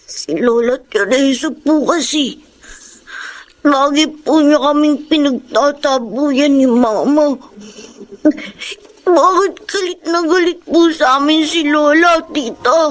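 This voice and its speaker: A woman's voice: high voice, slow. A woman voicing a meek, polite little boy in a Filipino radio drama, plaintive and hesitant, with a Filipino accent. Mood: sad, pleading.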